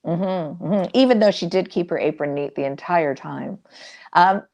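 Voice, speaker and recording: A woman's voice: on the low side, moderate pace, creaky, darkish tone. A woman moderating a conversational interview and putting questions to a guest.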